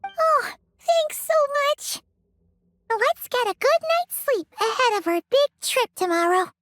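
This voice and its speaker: A woman's voice: very high voice, sing-song, slow pace, a bit bright, full character voice. A woman voicing a small, cheerful fairy companion creature in a video game. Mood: grateful, cheerful.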